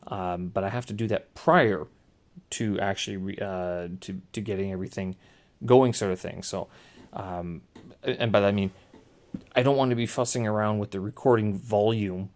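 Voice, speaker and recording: A man with a naturally loud voice hosting a casual solo podcast, hesitant and often correcting and rephrasing himself as he goes. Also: on the low side, moderate pace. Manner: hesitant, self-correcting, circling back to rephrase. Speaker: podcast host. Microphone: small handheld recorder or podcast microphone.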